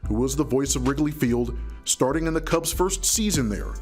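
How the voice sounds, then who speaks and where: An American man narrates a sports feature from a script. His voice is mid-pitched for a man, and a music bed plays clearly beneath him. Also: lively intonation, normal speed. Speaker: reporter, narrator.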